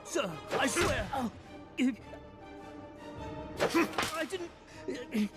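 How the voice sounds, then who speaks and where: Pleading, cowed soldier. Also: male voice, very high voice, expressive, drawn-out words, exaggerated voice. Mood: frightened, pleading. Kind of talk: scripted video game acting. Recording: loud game music over voice. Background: loud music.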